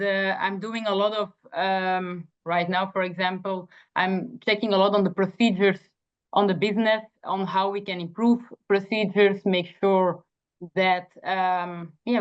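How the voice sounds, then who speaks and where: A young woman from Belgium, a native Dutch speaker, earnestly introducing herself in a job interview with many fillers and restarts. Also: medium pitch. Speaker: job candidate. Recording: dull lo-fi sound.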